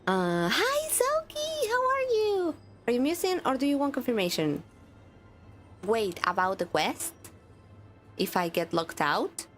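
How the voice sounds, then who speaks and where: A woman's voice: on the high side, very animated, unhurried, faint music behind. A woman streamer with a stuffy nose, chatting casually with viewers while playing a game. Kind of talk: live gaming stream chat.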